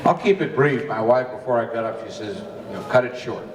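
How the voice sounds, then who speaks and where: A man's voice: medium pitch, brisk, crowd noise. A man in his fifties with a Texan accent giving a joking, storytelling wedding speech in a large ballroom. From Texas. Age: 50s. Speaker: father giving a wedding toast. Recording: echoey ballroom from far off, noisy.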